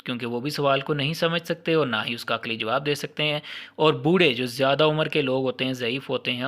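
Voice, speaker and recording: A man's voice: mid-range voice, sharp tone. A man with a Pakistani accent giving an informal explanatory lecture, didactic, repeating key points and mixing in English terms. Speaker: law lecturer.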